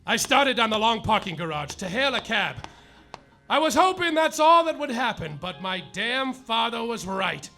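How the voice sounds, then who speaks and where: Man playing an overwrought, very high-pitched private detective. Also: big pitch swings, normal speed, bright, forceful tone, soft background music, exaggerated voice. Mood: heartbroken, dramatic. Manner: overwrought, declamatory. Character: melodramatic heartbroken male private detective, boastful and defiant. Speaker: lead actor and narrator. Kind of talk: parody noir detective narration, live radio play.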